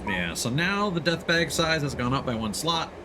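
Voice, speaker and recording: A man's voice: fairly high pitch, sing-song, normal speed, background noise. A man doing casual live gameplay commentary, thinking aloud and chatting to his viewers as he plays. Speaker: game streamer. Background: video game music.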